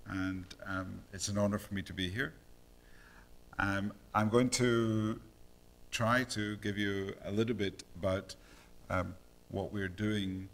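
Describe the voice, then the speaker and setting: A middle-aged man with a Scottish accent giving an academic lecture, measured, with many ums and uhs. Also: lowish voice, fairly even intonation, creaky, a bit bright. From Scotland.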